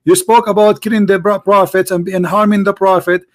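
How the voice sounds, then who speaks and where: A man's voice: high voice, little pitch movement, fairly quick. A man who is not a native English speaker, hosting a heated live debate, insistent and talking over the other side. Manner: insistent, repeats points, cuts in. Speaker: debate host.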